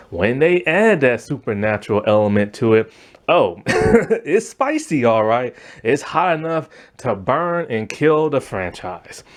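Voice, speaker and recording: Casual reviewer, man, voice going hoarse and strained, animated and full of fillers. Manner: rambling, lots of fillers, animated pitch swings. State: losing his voice, strained. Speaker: movie review channel host. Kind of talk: casual opinion monologue.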